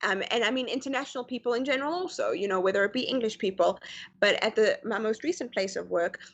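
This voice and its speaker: A woman's voice: medium pitch, fast. A middle-aged woman from South Africa with a mixed American and Canadian accent, chatting as an interview guest with many fillers over a video call. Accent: mix of American and Canadian. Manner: chatty, many fillers, lively quoted voices. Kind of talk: casual interview chat.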